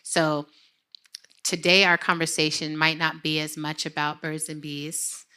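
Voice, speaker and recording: A woman's voice: deep voice, flat delivery, a little slow, bright, forceful tone, clean tone. A woman co-hosting a live podcast taping on stage, interviewing her sister and acting out her own reactions in quoted voices. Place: live event stage before an audience.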